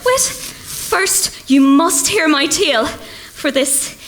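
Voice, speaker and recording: A woman's voice: high voice, lively intonation, takes it slowly, a bit bright, slight background noise, heavily acted. A woman playing a melodramatic old Irish fiddler in a comic radio play, with a theatrical, wailing, storytelling lilt. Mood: haunted storytelling. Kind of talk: comic radio play acting before a live audience.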